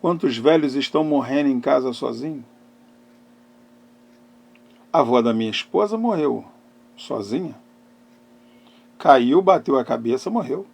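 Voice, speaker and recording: A middle-aged man preaching and giving personal testimony as a pastor, emphatic, with dramatic pauses and swings between loud and hushed phrases. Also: medium pitch, expressive, creaky, husky. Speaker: pastor and therapist hosting a live talk.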